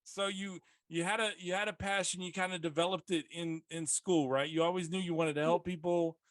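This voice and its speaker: A man hosts a relaxed podcast interview, chatty and quick to joke. His voice sits a bit high for a man and sounds dry and close. He fills his questions with loose asides. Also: no room sound. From South Texas. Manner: chatty, joking, many fillers. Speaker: podcast host. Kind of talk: casual interview.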